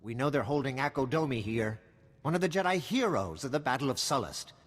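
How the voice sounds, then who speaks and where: A man's voice: medium pitch, lively intonation, brisk, big-room sound, close to an everyday voice. A man voicing a weary, wry intelligence officer in a video game, briefing in a matter-of-fact, conspiratorial way. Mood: grave, urgent.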